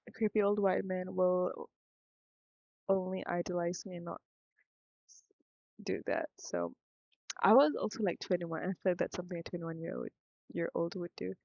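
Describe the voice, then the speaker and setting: A young Singaporean woman with a low, gravelly voice rambles on in a casual vlog, thinking out loud and breaking off her own sentences. The sound is dry and a little muffled, recorded close on a modest mic. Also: fairly even intonation, normal speed, pauses often, no echo. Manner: meandering, thinks aloud, self-interrupting, frank. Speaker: vlogger.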